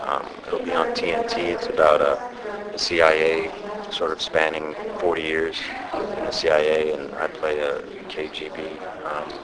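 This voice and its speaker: A man, an actor, answering interview questions about his film in a halting, low-key way with many fillers. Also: flat delivery, poor audio. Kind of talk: casual press interview. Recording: loud crowd chatter behind.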